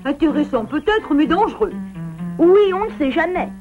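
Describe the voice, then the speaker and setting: A protective mother bear in a children's cartoon. Her voice sits high and frets emphatically over her cub. The sound is a little dull, with light music behind. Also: very animated, normal speed. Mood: alarmed, insistent. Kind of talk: French animated series dubbing.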